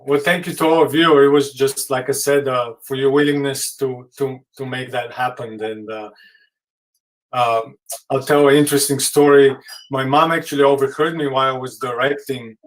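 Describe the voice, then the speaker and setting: Halting man on a video call. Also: mid-range voice, steady tone, far from the mic, poor audio. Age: adult. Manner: warm, halting, many fillers and restarts. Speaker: film director hosting the stream. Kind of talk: casual video call talk.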